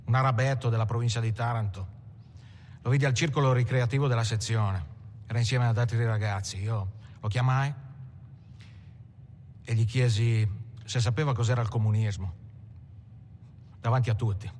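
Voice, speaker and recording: An actor playing a proud, fervent communist militant, telling his story in a measured film monologue. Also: mid-range voice, close to an everyday voice. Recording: film soundtrack, reverberant, faint background noise.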